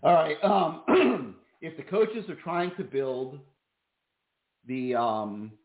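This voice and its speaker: A man hosting a sports call-in show, talking casually with many fillers, his voice going hoarse. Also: a little high, extremely slow, reverberant, narrow, tinny audio. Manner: relaxed, many fillers and restarts. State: losing his voice. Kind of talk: casual call-in radio talk.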